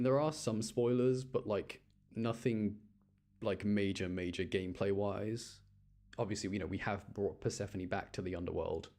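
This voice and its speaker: A man's voice: fairly low pitch, a little mellow. A man giving casual gaming commentary on a livestream, thinking aloud in an informal way. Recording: game music behind the voice. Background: video game music.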